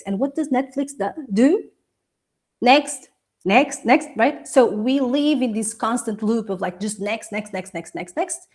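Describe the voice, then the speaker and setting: A woman whose first language is Portuguese, a coach hosting a casual live video, talking warmly to viewers, laughing often and pausing to sip tea. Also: medium pitch, slightly rough. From Portuguese Angolan. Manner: chatty and encouraging, laughs often, sips tea.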